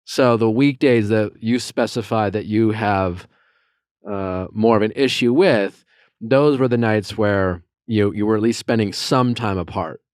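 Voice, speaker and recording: A low-pitched male podcast host with a smooth, resonant voice, recorded close and dry. He guides callers through their argument and restates each side plainly before pushing them forward. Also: measured pace, a little mellow, no room sound. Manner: direct, summarizing, steering the talk. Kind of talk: casual mediation chat.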